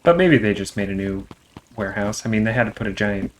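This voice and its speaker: A man's voice: fairly low pitch, lively intonation, fast talker. A man hosting a game playthrough in casual, conversational chat. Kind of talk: casual let's play chat, reading game dialogue aloud.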